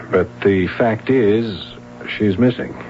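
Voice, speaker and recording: A man playing a calm, methodical police sergeant questioning worried parents in a 1970s radio drama, on a muffled old broadcast recording. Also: lowish voice, takes it slowly, a little hiss or hum. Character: patient, probing middle-aged police sergeant.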